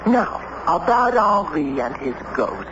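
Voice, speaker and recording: Refined wife, adult woman, persuasive, gently teasing, expressive pitch, vintage radio play, muffled noisy recording. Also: slow pace, full character voice. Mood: gently chiding. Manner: refined, reasoning, gently chiding. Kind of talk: old-time radio drama acting.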